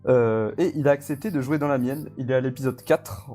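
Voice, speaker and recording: Mellow-voiced man, hesitant casual interview guest. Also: mid-range voice, brisk, faint music behind. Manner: hesitant, informal, rambling. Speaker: guest, audio fiction creator.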